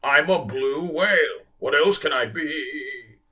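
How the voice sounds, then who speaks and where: A deep, droning blue whale, slow and mopey, wallowing in his own sorrow, as a man reads him aloud from a storybook. He drags out every word in a lively, rising-and-falling groan. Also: close to an everyday voice. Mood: self-pitying. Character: huge sad self-pitying male blue whale.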